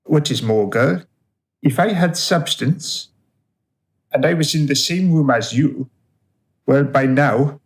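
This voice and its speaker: An actor playing a boastful, menacing dead serial killer in a radio drama, sneering and theatrical. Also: male voice, a little high, slow pace, pauses often.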